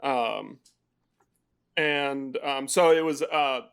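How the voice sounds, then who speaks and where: A man co-hosting a film podcast, talking casually in a rambling way with pauses and restarts. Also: medium pitch, creaky, fairly bright tone, clean tone. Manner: rambling, many fillers and restarts. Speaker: podcast co-host. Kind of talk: casual chat.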